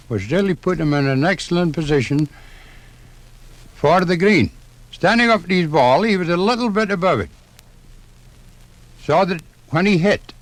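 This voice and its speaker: A man's voice: high-pitched, dry recording, low-quality recording. An older man telling an anecdote as a radio guest. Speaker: retired golf club professional, guest. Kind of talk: anecdotal storytelling.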